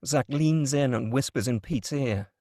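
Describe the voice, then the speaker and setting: Male narrator for script table read. Medium pitch, scripted scene descriptions, dry close mic. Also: measured pace, dark tone, dry recording. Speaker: narrator reading stage directions. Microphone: close, dry microphone.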